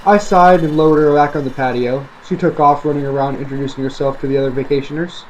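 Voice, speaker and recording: A man's voice: on the high side, steady tone, fast, poor audio. A man reading a creepy story aloud as scripted narration for online listeners. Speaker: storyteller, online video creator. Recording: faint background noise.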